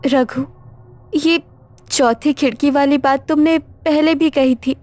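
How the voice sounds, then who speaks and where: A woman playing an uneasy, inquisitive doctor in a cartoon horror story, speaking in a deliberate, questioning way. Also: high-pitched, fairly even intonation, a little mellow. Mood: anxious questioning.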